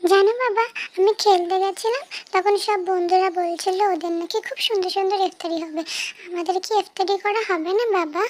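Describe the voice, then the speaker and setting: Woman voicing a very high-pitched eager little cartoon girl. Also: brisk, no echo. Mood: pleading. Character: eager, sweet little girl, pleading and obedient. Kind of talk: cartoon dialogue. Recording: clearly noisy background.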